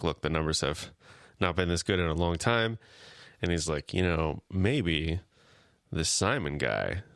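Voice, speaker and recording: A man co-hosting a podcast, chatting casually and retelling scenes with quick paraphrased lines of dialogue. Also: low voice, fairly bright tone, clear, resonant tone, dry recording, clean studio sound. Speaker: podcast co-host. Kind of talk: casual chat.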